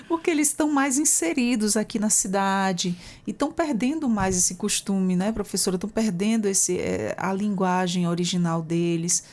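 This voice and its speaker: Woman, podcast host, Brazilian accent, affirming, asks questions, mid pitch. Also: normal speed, darkish tone. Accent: Brazilian Portuguese. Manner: affirming, frequent short agreements. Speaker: podcast interviewer. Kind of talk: interview questions and short reactions.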